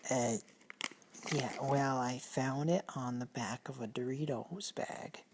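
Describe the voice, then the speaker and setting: A woman's voice: rumbling low, background noise, full character voice. A woman playing a nervous, earnest amateur poet in improvised radio comedy, speaking hesitantly and solemnly. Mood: earnest, defensive. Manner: hesitant, halting, solemn recitation.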